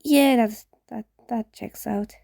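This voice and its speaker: A woman's voice: on the high side, takes it slowly, vocal fry, warm tone, no room sound, clean studio sound, heavily acted. A woman voicing an awkward, puzzled, apologetic teenage boy in a story, hesitant and rambling in a casual way. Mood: awkward, explaining.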